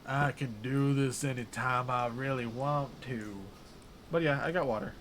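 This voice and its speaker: A man's voice: mid-range voice, a little slow, fairly bright tone, a little hiss or hum. A man streaming live, reading a horror visual novel aloud with casual, joking, self-deprecating asides.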